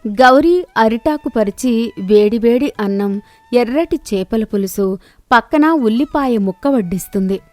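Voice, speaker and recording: A woman narrating a children's moral story in a steady, clear storytelling manner. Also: a little high, a little slow, no room sound.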